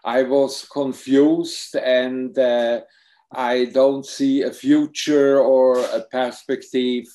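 Slow, halting German-accented older man. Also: mid-range voice, flat delivery, dark tone. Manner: halting, searching for English words. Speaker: psychotherapist and film subject. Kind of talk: Q&A on a video call. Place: home room with bookshelves. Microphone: laptop webcam mic on video call.

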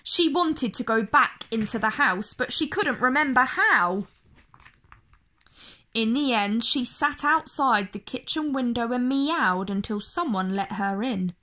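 A woman reading a children's story aloud in an expressive way, phrasing each line clearly and deliberately for young listeners. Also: a little high. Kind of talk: slow, expressive picture-book read-aloud.

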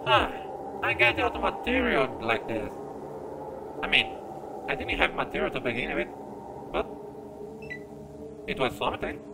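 Slow-talking, creaky-voiced man playing a game. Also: mid-range voice, big pitch swings, very noisy. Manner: self-deprecating, halting. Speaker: gamer commentating on own gameplay. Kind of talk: casual let's-play commentary. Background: game music.

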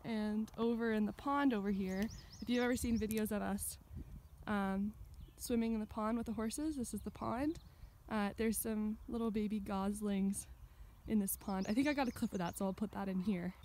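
A woman's voice: medium pitch, normal speed, a little mellow, slight background noise. A woman in her twenties gives a casual, chatty walking tour of a farm and barn, filmed on a phone held at arm's length. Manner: chatty, upbeat, points things out while walking. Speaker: vlogger giving a barn tour. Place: outdoors on a farm and inside a barn.

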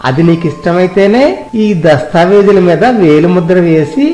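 A man's voice: high voice, moderate pace, dark tone, lightly acted. A man playing a miserly, scheming village moneylender in a story, wheedling and condescending with emphatic delivery. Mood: firm, bargaining. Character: miserly, scheming village moneylender husband, smooth-talking and greedy. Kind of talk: scripted Telugu moral story dramatization. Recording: roomy echo, music behind.

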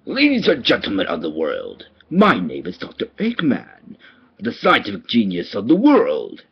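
A man's voice: lowish voice, wildly swooping pitch, normal speed, vocal fry, husky, exaggerated voice. Man putting on grandiose, swooping mad-scientist cartoon villain. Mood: gloating, grandiose. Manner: grandiose, theatrical declaiming. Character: pompous middle-aged male mad scientist villain, gleefully megalomaniac. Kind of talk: amateur cartoon villain voice demo. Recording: muffled lo-fi home recording.

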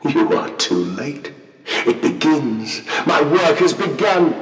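Sinister machine zealot, swooping high-pitched delivery, slow, grandiose preaching, mocking, horror game. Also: male voice, big pitch swings. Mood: fervent, exultant. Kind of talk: dramatic video game voice acting. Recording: echoey, distant, booming space.

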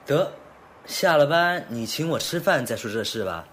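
A man's voice: mid-range voice, lively intonation, moderate pace, a bit bright. A young man acting in an audio drama as a blunt, sardonic best friend who probes and teases. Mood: probing.

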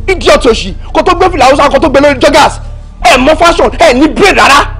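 A high, quick, restless voice swings wildly in pitch as it goes. It is a controlling young man with a Nigerian accent, loud and domineering. The delivery is scornful and pushy. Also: lively intonation, gravelly, soft background music, heavily acted. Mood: bossy. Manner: shouting, insulting, domineering. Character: jealous, controlling young man who bullies.

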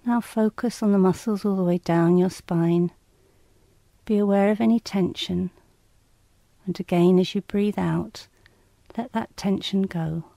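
A woman reading scripted guided relaxation instructions in a calm, measured, soothing and slightly clinical manner. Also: mid-range voice, vocal fry, no room sound.

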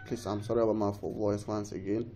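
A man's voice: lowish voice, gravelly, very noisy. A man with a cold giving a casual, rambling phone tutorial, often restarting his sentences and urging viewers along. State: has a cold. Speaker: tech tutorial host. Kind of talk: casual screen-recorded tutorial.

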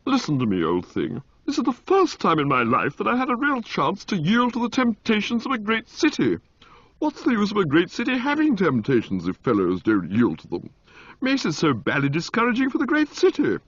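A man's voice: huge pitch swings, fairly quick, a bit bright, breathy edge, dry recording, full character voice. A man doing Motty, a giddy young toff. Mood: gleeful. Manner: high, chirpy, exclamatory. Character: young male aristocrat, giddy and carefree. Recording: dull lo-fi, dry.

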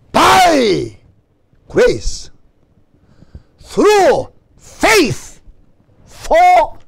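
An older man with a Gyeongsang accent preaching an animated sermon at a stand mic on a church stage, calling for amens and joking with the congregation. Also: very high-pitched, vocal fry. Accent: Gyeongsang Korean. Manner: animated preaching with call-and-response and jokes. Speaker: pastor. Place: church stage before a banner. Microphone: stand mic in front.

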